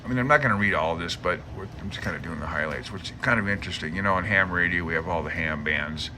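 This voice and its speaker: A man's voice: low voice, quick pace, background noise. A man giving casual, rambling commentary on a book as a hobbyist host, in a chatty, amused way that wanders off on tangents. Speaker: amateur radio hobbyist host.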